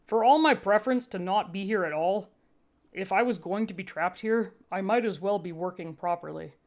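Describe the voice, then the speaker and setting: A woman's voice: mid-range voice, normal speed. A woman reading a first-person story aloud as scripted audiobook narration. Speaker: fan fiction audiobook reader.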